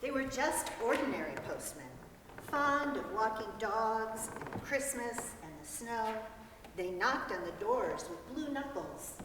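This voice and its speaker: A woman's voice: fairly high pitch, big pitch swings, a little slow. A woman giving a dramatic reading of a descriptive literary story. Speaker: reader in a church's dramatic reading. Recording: very echoey hall, distant mic, faint noise.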